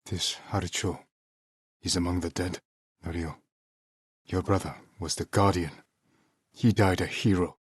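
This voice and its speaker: A man playing a stoic, honorable samurai warrior in a video game, husky and measured, with terse, solemn delivery. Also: sits low, fairly even intonation, no echo, clean studio sound. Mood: quiet, somber. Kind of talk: video game dramatic voice acting.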